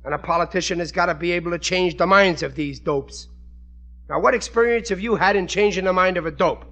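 An actor playing a blustering, bumbling working-class husband in a 1940s radio sitcom, stammering and using folksy grammar. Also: male voice, high-pitched, fairly quick, sharp tone. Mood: defensive bluster. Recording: noisy vintage radio recording.

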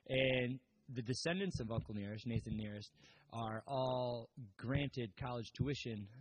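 A man's voice: medium pitch, unhurried, husky, no room sound, poor audio. Man retelling a story with hedges. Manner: storytelling, hedging, apologetic. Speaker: podcast co-host. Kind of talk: casual chat.

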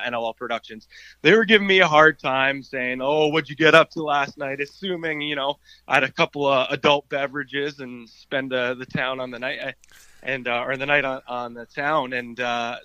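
A man with a raspy voice, answering interview questions in an easygoing way as a guest. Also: mid-range voice, pushed and bright, no room sound, lo-fi sound. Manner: easygoing, laughs often. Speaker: guest columnist.

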